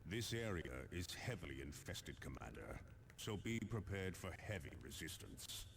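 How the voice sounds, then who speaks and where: An actor playing a grave veteran space marine captain, giving a deliberate, commanding military briefing in a video game. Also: male voice, low voice, very animated, gravelly, heavily acted. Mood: grave, urgent. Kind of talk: dramatic scripted military briefing.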